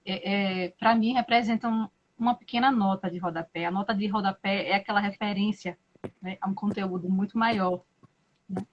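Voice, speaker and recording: Brazilian woman hosting a live chat, mid-pitch, slow and reflective, lots of pauses, kinda muffled lo-fi audio. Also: fairly even intonation. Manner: slow, thoughtful, frequent "né?" and hesitations. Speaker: live-stream host. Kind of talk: casual live-stream interview.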